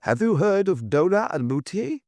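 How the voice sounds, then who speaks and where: A man acts a curt male notary for an audiobook. He answers in a clipped, formal way, discreet and unwilling to give much away. The voice sits a little high, recorded very dry. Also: sing-song, moderate pace, a little mellow, dry recording. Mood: guarded, clipped. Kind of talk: audiobook character dialogue.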